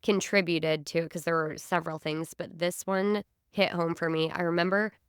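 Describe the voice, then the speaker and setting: A young woman talks on a podcast with a stuffy, congested nose from a cold. Her voice sits low for a woman but comes out smooth and clean, recorded close and dry. She tells her stories with animated energy and laughs easily. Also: normal speed, dry recording. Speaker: podcast co-host. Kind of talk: casual podcast chat.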